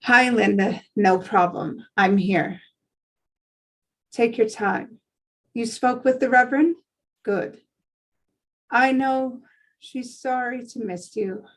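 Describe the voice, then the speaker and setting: Woman reading calm, reserved professional woman, unhurried. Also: fairly high pitch, low-quality recording. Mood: calm, supportive. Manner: calm, measured, supportive. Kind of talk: table read acting.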